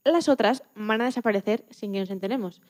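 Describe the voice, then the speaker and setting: A woman's voice: medium pitch, a little fast, darkish tone. A woman speaking as an interview guest, explaining things in her answers.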